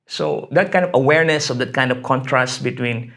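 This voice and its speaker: A man's voice: mid-range voice, brisk, creaky, a bit bright. A middle-aged man from the Philippines, an academic being interviewed, talking in a hesitant way with many fillers and restarts. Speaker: interview guest, history academic. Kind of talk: conversational interview.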